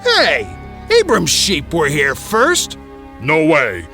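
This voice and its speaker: A man voicing quarrelsome shepherds in a children's story, loud, indignant and argumentative. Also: a little high, huge pitch swings, slow, soft background music, pitched above the performer's own voice, exaggerated voice. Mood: indignant, arguing. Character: quarrelsome male shepherds squabbling over grazing.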